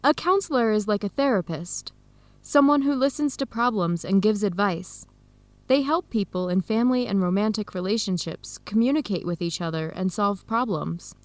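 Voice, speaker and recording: A woman's voice: medium pitch, normal speed, no echo, natural voice. A woman acting an earnest young adoptee in a radio drama, speaking openly with an American accent. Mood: explaining.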